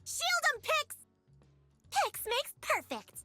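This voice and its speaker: A woman voicing a tiny, carefree, whimsical yordle sorceress from a video game, squeaky and childlike, with a playful sing-song delivery. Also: wildly swooping pitch, takes it slowly, music in the background, heavily acted. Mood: giddy, excited. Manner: playful, sing-song, exclaiming nonsense words. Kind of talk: video game character voice lines.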